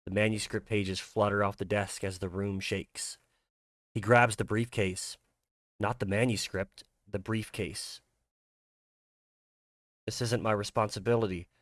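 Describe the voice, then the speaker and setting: Low-voiced man narrating survival horror. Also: a bit bright, dry recording, studio quality. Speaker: narrator and creator. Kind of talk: dramatic scripted horror narration.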